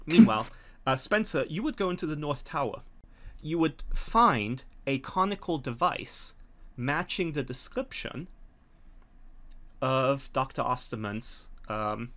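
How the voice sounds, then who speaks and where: A middle-aged man runs a horror roleplaying game from a room at home. He describes scenes deliberately, with pauses, in a medium-pitched voice. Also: fairly bright tone. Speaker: game master. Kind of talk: narrating a tabletop horror game. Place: home room on a video call.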